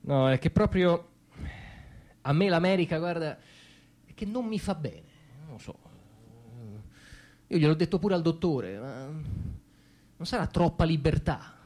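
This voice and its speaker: A man delivering a conversational, satirical theatre monologue in an ironic, hesitant way, with frequent pauses and asides. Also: a little high, animated, darkish tone. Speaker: stage monologist.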